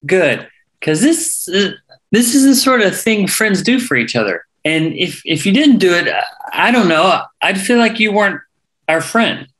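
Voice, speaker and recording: A woman acts out a manipulative bully in a drama. The voice is medium-pitched and highly animated, sugary and needling. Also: a little slow, low-quality recording. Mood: coaxing.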